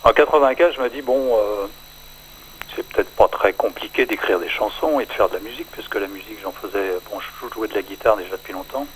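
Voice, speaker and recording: An older man, a singer-songwriter, talking in a relaxed radio interview, hesitant and full of fillers. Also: lowish voice, a little hiss or hum, low-quality recording. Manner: hesitant, many pauses and fillers.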